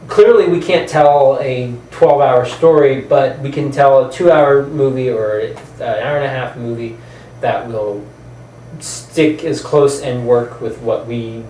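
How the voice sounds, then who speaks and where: A man's voice: mid-range voice, mellow. A man hosting a video channel in casual chat, rambling and informal, sometimes trailing off. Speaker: host of a video channel about films and games. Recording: roomy echo, distant mic, faint noise.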